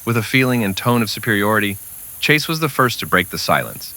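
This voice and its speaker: A man's voice: fairly low pitch, sing-song, a little fast, bright, forceful tone. A man narrating a scripted old-time western radio drama with dramatic storytelling delivery.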